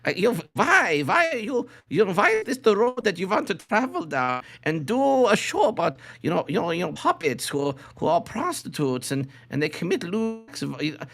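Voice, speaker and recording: A man's voice: sits high, wildly swooping pitch, normal speed, vocal fry, hardly any pauses, fairly bright tone. A middle-aged man co-hosting a podcast in casual, rambling chat, circling back and repeating his phrases. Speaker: podcast co-host.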